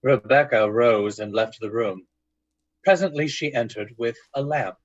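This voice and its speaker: Husky male narrator of a radio drama. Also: mid-range voice, unhurried, dry recording, muffled recording. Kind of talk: dramatic scripted narration in old-time radio style.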